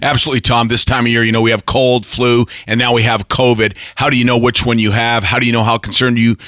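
A man's voice: medium pitch, little pitch movement, fast talker, fairly bright tone, dry recording, studio quality. A man hosting a radio health talk show, asking questions in a friendly, conversational way. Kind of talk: radio interview.

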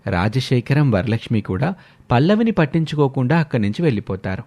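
A man tells a scripted story in narration. His voice has a medium pitch, and he delivers it as a storyteller recounting family events. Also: very animated, a little fast, darkish tone.

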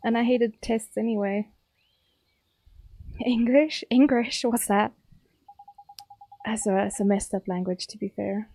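A woman's voice: fairly high pitch, steady tone, unhurried, pauses often, mellow, no echo. A young woman with a South African accent, chatting casually and teasingly with her viewers while streaming a game. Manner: chatty, playful, teasing chat.

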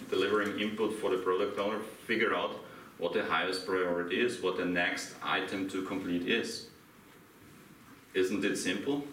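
A middle-aged Austrian man with a German accent presents at a conference, putting questions to a small audience. His low voice has a bright edge. It was picked up from a distance in an echoing room. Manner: asks the audience questions, laughs lightly. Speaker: conference speaker. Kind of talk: interactive conference talk. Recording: echoey room from far off, faint hiss.

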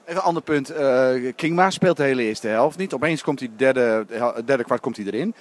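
A man's voice: medium pitch, moderate pace. A man interviewing a coach and a player after a basketball game, asking casual questions. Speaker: sports interviewer.

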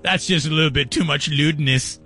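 A man's voice: a little high, moderate pace, pushed and bright, soft background music. A man hosting sports talk radio, commenting in a mocking, incredulous, jokey manner. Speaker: radio talk show host.

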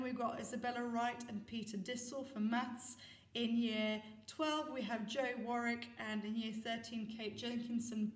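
A middle-aged woman, a deputy head, giving a prepared school assembly address to camera. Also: fairly high pitch. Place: small office indoors with bare walls. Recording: echoey room, slightly distant mic.

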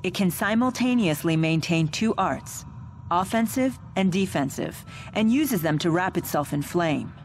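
Low, self-assured stately young woman. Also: expressive, unhurried, slight background noise. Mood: matter-of-fact. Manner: formal, matter-of-fact, assured. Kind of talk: English video game voice acting. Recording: game music behind the voice.

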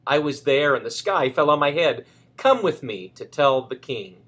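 A man voicing a frantic, alarmed little hen in a children's storybook, his voice a bit husky. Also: medium pitch, expressive, moderate pace, a bit bright.

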